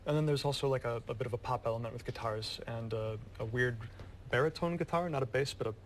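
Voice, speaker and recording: A man's voice: mid-range voice, fast talker, slight background noise. A man in his thirties from Michigan with an American accent, chatting informally as a musician guest in a studio interview. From Michigan, United States. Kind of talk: casual interview chat.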